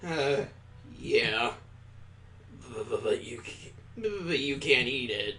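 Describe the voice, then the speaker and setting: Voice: medium pitch, lively intonation, slow pace, bright, forceful tone, a little hiss or hum, voice pushed down. A gaming host voicing a sheepish boy cook from a visual novel, hesitant and stammering. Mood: nervous, evasive.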